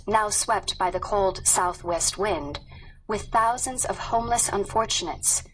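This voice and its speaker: A woman reading a scripted textbook narration in a formal, measured manner. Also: mid-range voice, a bit bright, slight background noise, rough recording.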